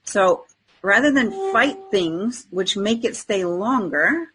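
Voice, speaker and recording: A woman co-hosting a podcast in casual conversation, speaking in a tentative, reflective and halting way. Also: mid-range voice, expressive, a bit bright, a little hiss or hum. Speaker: podcast co-host. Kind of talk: casual spiritual chat.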